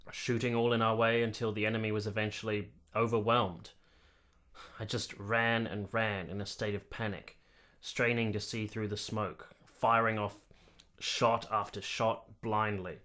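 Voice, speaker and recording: Male actor, low-pitched, earnest soldier, reflective, literary phrasing, radio play. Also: a bit bright, lightly acted. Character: earnest, candid young army captain, reflective war veteran. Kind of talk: radio play narration and dialogue.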